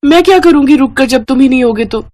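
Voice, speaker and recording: A woman's voice: high-pitched, fast, a little mellow. A woman voicing a stubborn, impatient young mother in a cartoon story, speaking insistently. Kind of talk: Hindi cartoon story voice acting.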